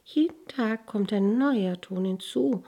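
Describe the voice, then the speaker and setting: A woman acts out a tiny storybook flower. It speaks in a raised, breathy little voice, gentle and modest, with a soft and delicate touch. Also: medium pitch, takes it slowly, pitched above the performer's own voice. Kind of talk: fairy-tale storytelling.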